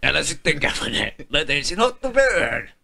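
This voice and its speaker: A man voicing a bossy, foul-mouthed crook of a father in an amateur comedy cartoon dub, gruff and cajoling. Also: on the high side, big pitch swings, full character voice. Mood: bossy, irritated. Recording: noisy home dub with clicks.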